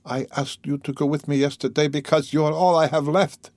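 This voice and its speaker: A man reads as a young male killer tormented by guilt, in a storybook drama. He is bitter and self-loathing, speaking in agitated, halting bursts in a close, dry recording. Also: fairly high pitch, fairly even intonation, darkish tone, no room sound, voice pushed up, close to an everyday voice. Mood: bitter, urgent. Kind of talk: dramatic audiobook character reading.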